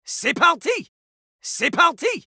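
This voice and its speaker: A woman's voice: very high voice, sing-song, slow, creaky, bright, forceful tone, dry recording, studio quality, full character voice. A woman voicing a vain, scornful French-accented toilet who fancies himself a rap master, in a theatrical video game performance with a pompous, dismissive manner. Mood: pompous, demanding. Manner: pompous, speaks of himself in third person, dismissive.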